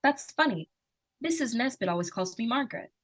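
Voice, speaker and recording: Woman playing a high, lively, inquisitive little girl. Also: a little fast. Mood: wary, innocent. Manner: hesitant, artless, persistently questioning. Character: inquisitive young girl, innocent-seeming, probing, easily frightened. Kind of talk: 1940s radio suspense acting.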